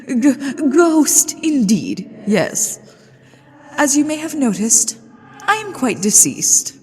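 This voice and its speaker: A woman's voice: medium pitch, a little mellow. A woman playing a haughty, playfully menacing ghost lady of a haunted manor in a theatrical, teasing ASMR roleplay. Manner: theatrical, teasing, imperious, with drawn-out phrasing. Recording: long hall reverb with music behind.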